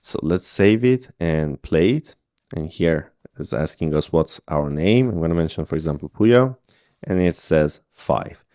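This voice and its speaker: A man's voice: extremely low pitch, lively intonation, measured pace, dark tone, dry recording, clean studio sound. A man, walking through coding exercises step by step and checking in with "okay?" and "right?". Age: 30s. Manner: patient step-by-step explaining with frequent "okay?" checks. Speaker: programming instructor. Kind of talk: screen-recorded coding tutorial.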